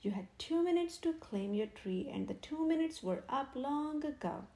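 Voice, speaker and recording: A woman doing a slow, solemn vicar calling out a raffle. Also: high voice, lively intonation, vocal fry, mellow. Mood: announcing. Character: formal, insistent male church vicar.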